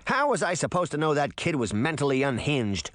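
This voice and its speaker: A man performs a cocky storybook fairy boy with a quick temper. The pitch sits high and swings widely. He growls and shouts with bratty, sarcastic defiance, recorded close and dry. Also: big pitch swings, dry recording. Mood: indignant.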